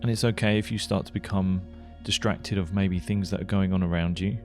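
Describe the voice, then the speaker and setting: A man leading a guided meditation, giving gentle, unhurried instructions with pauses. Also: sits low, fairly even intonation. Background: music.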